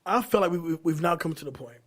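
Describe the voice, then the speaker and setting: Higher-pitched, husky man hosting casually. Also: quick pace. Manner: slangy, informal. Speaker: podcast host. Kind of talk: casual chat.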